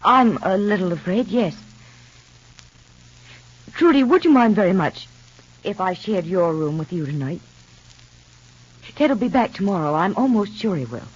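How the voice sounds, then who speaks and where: Young radio-soap woman, polite, animated pitch, rough-edged voice, muffled vintage recording. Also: measured pace, pauses often. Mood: uneasy. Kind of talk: 1950s radio soap acting.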